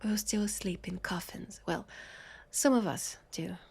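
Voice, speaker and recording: A woman with a French accent playing a sardonic, weary, centuries-old vampire police officer in an intimate audio roleplay, breathy and sighing. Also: on the low side, a little slow, a little mellow, full character voice. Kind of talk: intimate scripted audio roleplay addressed to listener.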